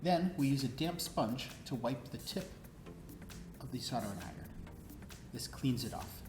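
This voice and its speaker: A woman's voice: extremely low pitch, expressive, a little slow. A woman instructor presenting a hands-on tutorial, explaining step by step and prompting with questions. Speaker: presenter and instructor of a DIY electronics show. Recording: roomy echo, music behind. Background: background music.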